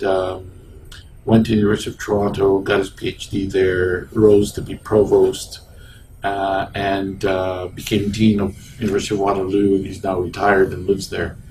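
Older Egyptian-born man, gravelly voice. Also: lowish voice, distant mic, a little hiss or hum, rough recording. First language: Arabic. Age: 60s. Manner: hesitant, many ums and restarts. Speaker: interviewee, engineering professor. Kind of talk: oral history interview. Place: small indoor office. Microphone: lapel mic.

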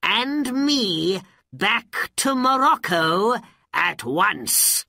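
Villain sorcerer for a fairy tale, woman performer, bossy, husky, swooping and loud. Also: medium pitch, sing-song, drawn-out words, no room sound, studio quality, voice pushed up, heavily acted. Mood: commanding, gloating. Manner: forceful, imperious orders. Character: commanding villainous male magician Abanazar.

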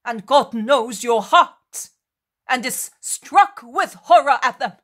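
A woman's voice: sits high, lively intonation, slow pace, fairly bright tone, full character voice. A woman voicing a stern, commanding male holy prophet from a religious story, declaiming emphatically like an orator. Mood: righteous, thundering.

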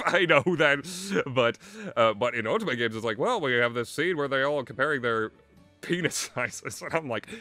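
Bright-voiced young man rambling casually. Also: medium pitch. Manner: hesitant, halting, often chuckling mid-sentence. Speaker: video game reviewer.

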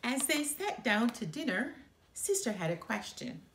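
Middle-aged lady reading a kids book aloud, very animated, bit of creak, roomy sound. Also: fairly high pitch, unhurried, slight background noise. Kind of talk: expressive read-aloud narration. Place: living room by a fireplace. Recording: roomy indoor echo.

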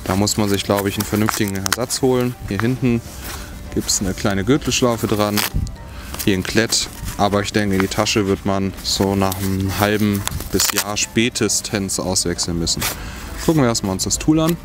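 A man's voice: lowish voice, normal speed, music in the background. A young man giving a casual hands-on review of outdoor gear, outdoors in a bare forest, thinking aloud as he tries things out.

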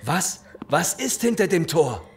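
Cold gang boss in a zombie audio drama, swings up and down, barking orders, bit of noise. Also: male voice, fairly high pitch, sing-song, measured pace. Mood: suspicious, demanding. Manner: domineering, threatening, barking orders. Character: menacing gang leader, cold, commanding man. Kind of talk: German post-apocalyptic audio drama acting.

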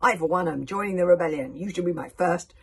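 A woman's voice: lowish voice, fast, breathy edge. A woman voicing a huge, proud, furious male dragon in a storybook, roaring with defiance.

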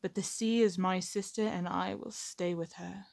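A woman's voice: fairly low pitch, fairly even intonation, normal speed, gravelly, darkish tone. A woman playing an assertive, wry captive pirate admiral in an ASMR roleplay, with a formal, theatrical, teasing delivery. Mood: proud, composed.

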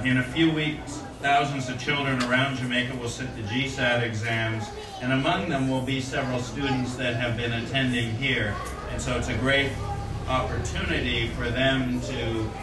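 A man in his forties giving an earnest formal speech at a ceremony, with frequent fillers and restarts, into a stand microphone. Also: medium pitch. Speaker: corporate speaker at a donation ceremony. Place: indoor room at an event. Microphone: stand microphone at a podium. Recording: roomy, distant-miked event audio with noise.

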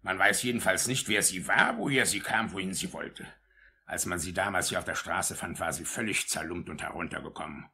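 An actor playing a pompous, officious and easily outraged parish official in a 1950s German radio drama. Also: male voice, sits low, fairly quick, pushed and bright, full character voice. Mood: self-important. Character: pompous, self-important male parish official in old England.

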